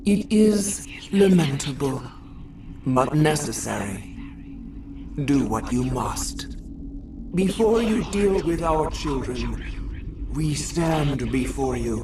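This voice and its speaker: An actress playing a solemn alien insect queen in a video game, speaking through a thrall in stilted, flat, poetic phrases with an otherworldly manner. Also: rumbling low, slow, long pauses, distant mic, background noise, exaggerated voice. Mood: grave, pleading. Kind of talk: video game cutscene acting.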